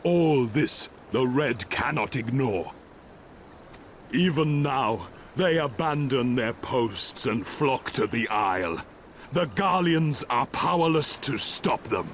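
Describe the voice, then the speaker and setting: An actor playing a solemn messenger reporting war news in a video game, in portentous, clipped, deliberate phrases. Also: male voice, mid-range voice, expressive, a little hiss or hum. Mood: grave, portentous. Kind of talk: video game cutscene dialogue.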